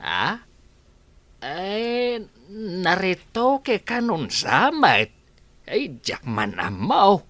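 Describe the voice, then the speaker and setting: A man's voice: sits high, huge pitch swings, very slow, pauses often, a bit bright, no echo, heavily acted. A jolly Ilocano grandfather. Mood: fond. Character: elderly grandfather, jovial and doting. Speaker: radio drama announcer at the close. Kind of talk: Ilocano radio drama acting.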